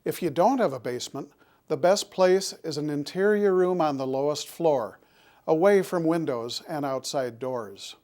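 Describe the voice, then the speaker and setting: A man presenting scripted public safety information in a deliberate, instructional manner. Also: fairly high pitch, darkish tone.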